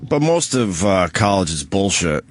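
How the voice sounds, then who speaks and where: A man's voice: low voice, very animated, unhurried. A man co-hosting a radio show in casual, teasing banter, a little sniffly. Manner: teasing, rambling with fillers. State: slightly sniffly.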